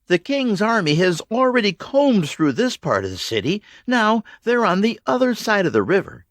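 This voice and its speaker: A man voicing a tired, determined woman who leads a group of children through a ruined city, speaking in steady, encouraging commands. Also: sits high, moderate pace, no room sound, clean studio sound, voice pushed up.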